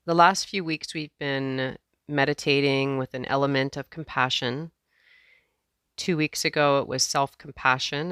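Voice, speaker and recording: A woman teacher leading a guided meditation in a gentle, measured way, leaving space between phrases. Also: rumbling low, smooth, no echo, clean studio sound.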